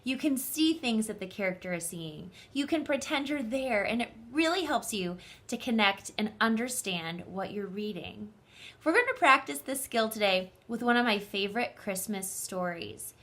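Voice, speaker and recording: Woman, bright forceful voice, slightly high pitch, expressive, clearly enunciated, teacher's read-aloud style. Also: moderate pace. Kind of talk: children's story read-aloud, instructive.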